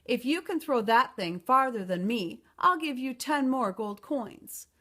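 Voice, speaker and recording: A big male storybook giant played by a woman reader, blustering and quick to fret. The voice is fairly high for so large a creature and swings up and down as he protests. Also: expressive, sharp tone, full character voice. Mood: challenging. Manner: exclaiming, protesting.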